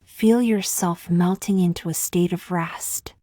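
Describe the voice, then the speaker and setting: Female meditation guide: lowish voice, very unhurried, calm delivery, close dry sound. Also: no echo. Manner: calm, unhurried, gently measured with long pauses. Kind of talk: guided meditation, scripted soothing narration.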